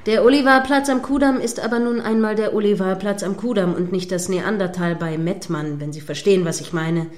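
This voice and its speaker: A stern female news presenter in a radio play, acted by a woman. She has a mid-range voice and speaks in formal, well-articulated sentences. She comes across as prim, combative and sceptical, quick to correct. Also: medium pitch, natural voice. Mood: sceptical, reproving.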